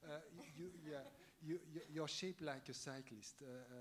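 A man's voice: a little high, normal speed, crowd noise. A man moderating a conference panel, posing questions while stumbling and repeating words. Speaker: session moderator.

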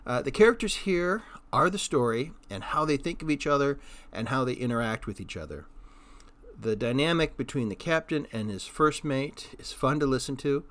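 A man hosts old-time radio reruns with an informal, personal introduction. His voice sits at a middling pitch, and he talks like a fan presenting favorites. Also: lively intonation, moderate pace, fairly bright tone.

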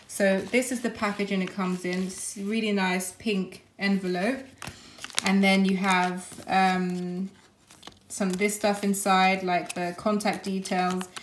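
A woman's voice: medium pitch, a little hiss or hum. Chatty young woman in an echoey room. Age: young adult. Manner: chatty and rambling, often hedging and correcting herself. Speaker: lifestyle vlogger. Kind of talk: casual vlog chat to camera. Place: small rooms at home, bedroom and kitchen. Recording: roomy echo of a small room.

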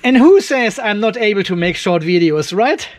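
High-pitched older man, casual tech vlogger. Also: sing-song, moderate pace. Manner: informal, thinking aloud. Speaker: host of an off-grid battery testing channel. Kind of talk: casual tech vlog, explaining readings while demonstrating. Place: cluttered indoor garage workshop.

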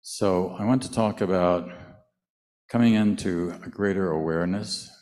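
An older American man giving a calm talk in short phrases before a hall audience, pausing for an interpreter. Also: deep voice. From United States. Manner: calm, speaks in short phrases, laughs lightly. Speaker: speaker. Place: large hall with audience, indoors. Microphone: handheld mic.

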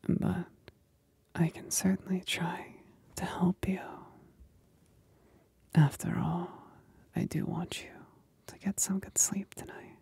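A possessive female demon, played by a woman, whispers in an ASMR roleplay. Her voice is husky and breathy, drawn out very slowly and edged with creak. She teases and commands with syrupy condescension. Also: fairly low pitch, level tone, dark tone, no room sound, exaggerated voice. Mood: seductive, commanding. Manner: slow, deliberate, condescendingly sweet.

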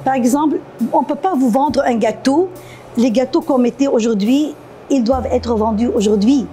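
Casting: woman in her fifties, Lebanese accent, soft mellow tone, interview guest, faint music behind. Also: high-pitched, normal speed. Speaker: guest, café co-owner. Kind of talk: conversational interview answers. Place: indoor café.

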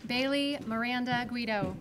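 A woman formally reading out graduate names at a commencement, slow and measured. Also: fairly high pitch, noisy background. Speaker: commencement name reader. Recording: with music in the background.

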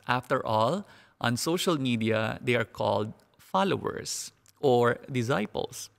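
A young priest preaches slowly and deliberately, leaning up in pitch on the words he wants to land. His voice carries a gravelly edge, picked up on a headset mic. The sound rings with the long reverb of a church hall. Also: a bit bright. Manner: slow, measured preaching with rising emphasis on key words. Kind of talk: homily to a live-streamed mass. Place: church sanctuary, indoors.

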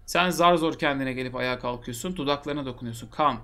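A man's voice: medium pitch, fast talker, fairly bright tone. Man reading a game aloud on stream. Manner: joking asides between narration. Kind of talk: reading a visual novel aloud with commentary. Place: home streaming room. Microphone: headset.

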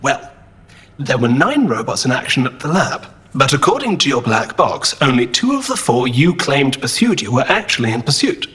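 A man's voice: mid-range voice, big pitch swings, moderate pace, a bit bright, slightly rough. An actor playing a precise, pedantic male robot strategist in a science-fiction audio drama, with clipped, analytical and sarcastic delivery. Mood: defensive, pedantic.

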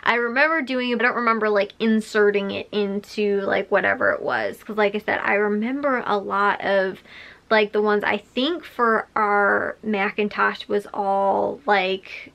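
A woman's voice: medium pitch, normal speed, creaky, a bit bright. Young woman vlogger, rambling casually. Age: late 20s to early 30s. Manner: rambling, frequent filler "like", chuckles while talking. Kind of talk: casual rambling chat to camera. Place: small bedroom or craft room, indoors.

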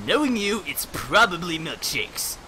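A man's voice: sits high, sing-song, a little slow, bright, forceful tone, slight background noise, full character voice, rough recording. A man voicing an exasperated, snapping young captain in a fan-made parody dub. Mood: weary.

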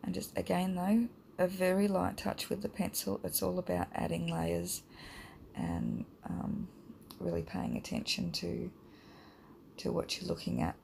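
Croaky middle-aged woman, gravelly fry, lively pitch, drawing tutorial voice-over. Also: measured pace, a little hiss or hum, rough recording. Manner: relaxed and rambling, many ums. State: croaky, hay fever. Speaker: art teacher.

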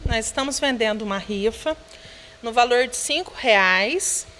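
A Brazilian woman in her thirties speaks earnestly into a handheld news mic. Her voice sits high, and the indoor room adds a long reverb tail. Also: measured pace, fairly bright tone. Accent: Brazilian Portuguese. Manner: earnest, appealing, uses filler "né". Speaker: charity director. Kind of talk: news interview. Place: indoor room of a children's home. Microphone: handheld reporter microphone.

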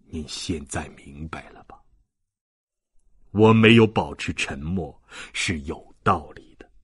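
A man voicing an anguished, weary old ex-convict with dignity in a dramatic, halting audiobook reading. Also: very deep voice, breathy edge, no room sound, studio quality, pitched below the performer's own voice, heavily acted. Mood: weary, resigned.

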